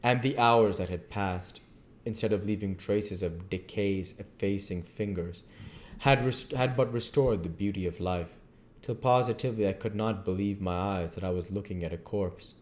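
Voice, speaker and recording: A man reading a novel aloud at a measured pace, with occasional slips and restarts. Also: lowish voice, darkish tone. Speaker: audiobook reader.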